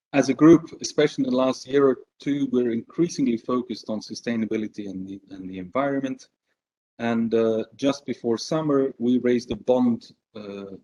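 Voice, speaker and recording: A man from Belgium gives a conference presentation in a measured way, often pausing on fillers. His voice sits in an ordinary middle range. The recording is somewhat dull and lo-fi. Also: mid-range voice, mellow. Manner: measured, hesitant with many fillers. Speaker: conference speaker. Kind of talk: business presentation.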